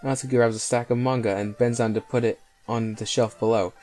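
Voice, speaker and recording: Male gameplay host, mid-pitched, reading story text aloud with faint music underneath. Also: steady tone, a little fast, a little mellow. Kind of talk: reading visual novel narration aloud. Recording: voice over faint game music.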